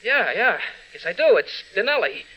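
A man's voice: high voice, very animated, moderate pace, pushed and bright, soft background music, exaggerated voice, poor audio. An actor playing a scared, slangy young man who stammers and protests his innocence in an old radio drama. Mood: nervous, evasive. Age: young adult.

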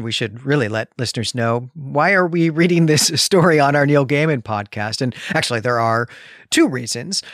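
An American man with a mid-pitched voice hosts a book podcast. He speaks conversationally but explains carefully, circling back to clarify his points. The sound is close and dry. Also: dry recording. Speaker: podcast host. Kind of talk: conversational literary podcast discussion.

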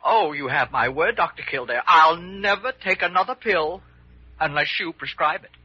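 Husky, anxious patient. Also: male voice, fairly high pitch. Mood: eager. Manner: halting, fervent, repeats words. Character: anxious, grateful male hypochondriac patient. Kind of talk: 1940s-50s radio drama acting. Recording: muffled vintage radio recording.

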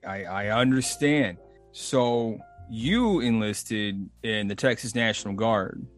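A man's voice: fairly low pitch, slow, soft background music. A man in his thirties hosting a casual interview, rambling and laughing easily. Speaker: podcast host.

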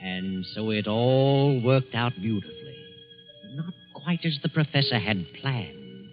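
An American man narrating 1940s radio suspense in a measured, dramatic, ominous manner. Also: mid-range voice, wildly swooping pitch. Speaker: narrator and announcer. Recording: dull old radio recording. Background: music.

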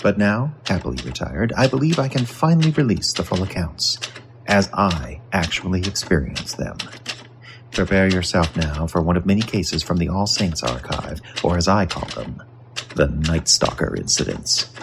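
A man's voice: low voice, expressive, moderate pace. An actor playing a retired, world-weary older male newspaper reporter, narrating an old-time radio drama in a hard-boiled manner. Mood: wry, dramatic. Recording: noisy background with typewriter clicks.

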